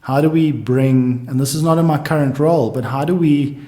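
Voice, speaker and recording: A man's voice: medium pitch, warm tone. Man, thoughtful, echoey room. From South Africa. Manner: musing, thinks aloud in halting restarts. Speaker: guest economist. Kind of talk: casual podcast conversation.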